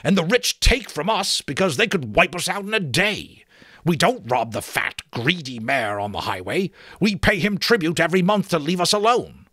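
A man's voice: on the high side, lively intonation, normal speed, fairly bright tone, dry recording, clean studio sound, pitched above the performer's own voice. A man voicing a weary aging male rogue outlaw in a storybook reading, hesitant and complaining. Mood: grumbling.

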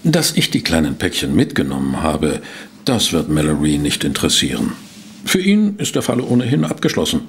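An actor playing an eager, shrewd male investigator in a German audio drama, with a gravelly, husky voice and expressive delivery. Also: rumbling low, normal speed, a little hiss or hum, heavily acted. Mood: reassuring.

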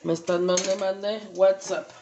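Slow chatty Mexican woman cooking. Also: mid-range voice, level tone, background noise. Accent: Mexican Spanish. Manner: slow, chatty, many fillers. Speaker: home cooking vlogger. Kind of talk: casual cooking demonstration. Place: home kitchen, indoors. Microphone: camera across the stove.

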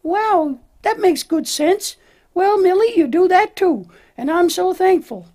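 A woman voicing an excitable, childlike little lamb with an earnest, emphatic manner in a children's puppet show. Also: very high-pitched, a little slow. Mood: curious, thankful. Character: excitable little lamb, easily upset, devoted to friend.

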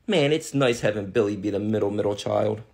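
A man's voice: on the low side, a little fast, gravelly, a little mellow. A man playing a smug, laid-back older brother in a family comedy skit, teasing in a matter-of-fact way. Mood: deadpan.

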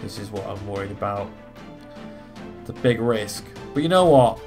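A man's voice: lowish voice, lively intonation, moderate pace, a little mellow. A man giving casual let's play commentary, swearing freely and thinking his moves through aloud. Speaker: gaming video host. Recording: game music behind the voice. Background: video game music.

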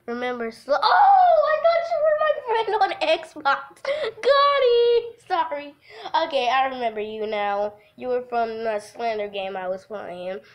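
A woman's voice: sits high, big pitch swings, slow pace. A giggly woman hosting a casual game livestream, chatting and reading aloud from a visual novel. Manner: giggly, breaks off into laughter. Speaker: livestream host.

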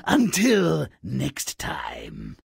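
A man voicing a mischievous, cynical dwarf storyteller in a video game, with a raspy, theatrical, sarcastic delivery that mocks fairy tales. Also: mid-range voice, wildly swooping pitch, extremely slow, dry recording, clean studio sound, full character voice. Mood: sardonic. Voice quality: raspy, gravelly. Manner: sarcastic, gleeful, exaggerated delivery. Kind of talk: theatrical sardonic storybook narration.

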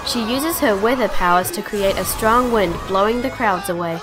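A woman's voice: medium pitch, normal speed, music in the background. A woman narrator reading a scripted children's adventure story in storybook style.

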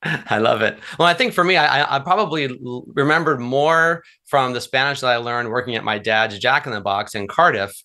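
A man's voice: medium pitch, a little fast, pushed and bright. A man hosting a podcast, upbeat and chatty, reading a scripted intro then interviewing a guest. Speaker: podcast host.

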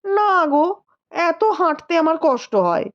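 A woman voicing a shy, gentle young wife with a coy, hesitant manner in a storybook reading. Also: very high-pitched, big pitch swings, takes it slowly, dull audio, full character voice.

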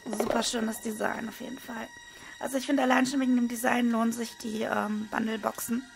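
A woman with a hoarse voice, casually commenting as she unboxes trading cards at a desk. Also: a little high, a little fast, slight background noise. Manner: relaxed, with frequent hesitation fillers. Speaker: card unboxing video host. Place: indoors at a desk, hands over table. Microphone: small camera-mounted microphone.

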